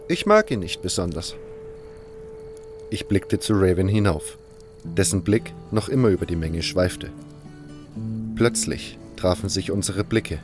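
A man narrating a fantasy audio drama in the first person, reading from a script. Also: low-pitched.

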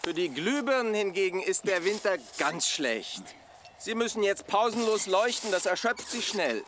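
Brusque high-voiced male engineer. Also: sing-song, moderate pace, fairly bright tone, a little hiss or hum. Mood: urgent. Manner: brusque, matter-of-fact, instructing. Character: brusque, bossy male engineer maintaining the landscape lighting. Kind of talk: German radio drama acting.

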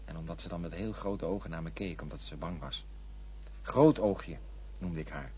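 A man's voice: sits low, normal speed, mellow, a little hiss or hum, natural voice. A man playing a restless, wistful lover. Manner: wistful, then bitter and accusing. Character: restless adult man chasing love and bodies. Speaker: lover recalling past affairs. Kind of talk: radio play acting. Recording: dull lo-fi radio play.

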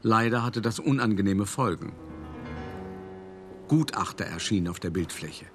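A man's voice: lowish voice, expressive, normal speed, lightly acted. An actor playing a worldly, cultured older art dealer who narrates his memories in a radio play, conversational and wry, addressing the listener directly. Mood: confiding. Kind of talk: first-person radio play monologue. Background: music.

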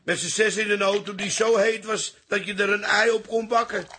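A man's voice: sits high, fairly even intonation, moderate pace, bright, forceful tone, husky, full character voice. An actor playing a weary, complaining, sardonic family man in a radio drama. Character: weary, grumbling member of a working-class Amsterdam family. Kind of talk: modern Dutch radio drama acting.

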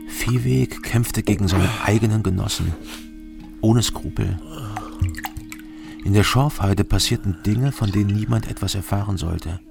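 An actor playing a weary, disillusioned East German investigator in a radio crime drama, with terse, sober phrasing. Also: male voice, lowish voice, measured pace, creaky. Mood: sober narrating. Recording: studio drama with music behind.

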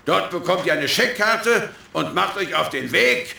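An actor playing a scheming hacker boss, smug, theatrical and commanding, in a children's radio drama. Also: male voice, sits high, lively intonation, measured pace, gravelly, pushed and bright, exaggerated voice. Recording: echoey, with faint noise.

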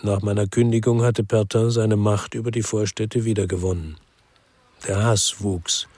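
An actor playing a weary, bitter former policeman who narrates a noir crime radio drama in a measured, laconic way. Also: lowish voice, steady tone, darkish tone, dry recording, studio quality, lightly acted. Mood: grim, reflective.

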